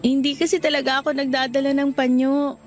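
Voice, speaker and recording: A Filipino-accented woman acting in a radio drama as a meek, gentle young girlfriend with an apologetic manner. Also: high-pitched. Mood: tearful, subdued. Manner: pleading, soft-hearted, apologetic.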